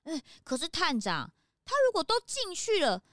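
A woman voicing an eager young detective sidekick in a children's audio drama, excited and full of questions. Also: very high-pitched, normal speed, dry recording, studio quality, exaggerated voice.

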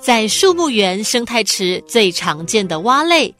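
A woman's voice: a little high, big pitch swings, measured pace, a bit bright, soft background music. A woman narrating a radio drama in a scripted storybook style.

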